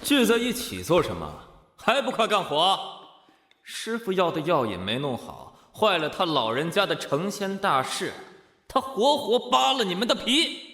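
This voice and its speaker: A man's voice: high voice, very animated, normal speed, pushed and bright, exaggerated voice. A man playing a haughty, cold Taoist senior disciple in an audio drama, scolding and sneering. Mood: scornful, threatening. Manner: arrogant, scolding. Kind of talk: Chinese audio drama acting. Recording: echoey cave-like hall reverb.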